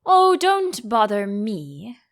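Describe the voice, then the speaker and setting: A woman voicing a rude, ill-tempered duchess in a storybook, with a brusque, snappish manner and a hoarse growl. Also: fairly high pitch, very animated, slow, full character voice. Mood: gruff, irritated.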